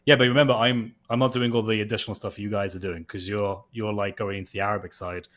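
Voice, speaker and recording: A man's voice: lowish voice, level tone, fast talker, a bit bright. A man who is a native English speaker, chatting casually in a discussion and thinking aloud with loose fillers.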